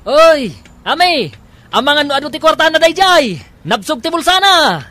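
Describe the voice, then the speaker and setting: A brash, wildly animated Filipino teaser. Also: male voice, very high-pitched, moderate pace, full character voice. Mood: mocking, teasing. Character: brash, mocking young man, jealous and teasing. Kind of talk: Ilocano radio drama acting.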